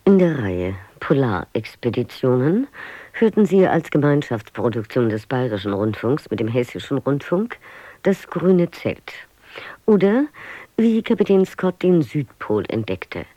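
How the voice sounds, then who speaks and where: A radio announcer reads the closing credits from a script. The voice is dark and mellow with animated intonation, recorded very dry. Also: mid-range voice, unhurried, no room sound, muffled recording.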